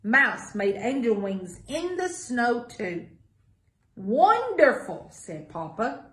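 Middle-aged woman, very slow pace, lively intonation, children's read-aloud, deliberate sing-song delivery. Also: fairly high pitch. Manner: sing-song, deliberate, asks listeners questions. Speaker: library story time reader. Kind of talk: picture-book read-aloud for young children. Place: small indoor room with block walls. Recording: echoey small room.

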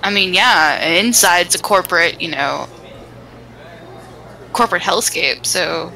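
Woman, lively swooping pitch, bright forceful tone, casual game banter, reactive. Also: slow pace, creaky, poor audio. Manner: animated, reactive. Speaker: guest player in game stream.

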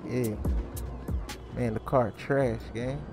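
A man's voice: mid-range voice, fairly even intonation, slow pace, creaky, over music. A man giving casual, slang-heavy commentary while playing a crime role-play game, calling his viewers gang. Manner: slangy, laid-back, calls viewers gang. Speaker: gaming YouTuber playing a role-play series.

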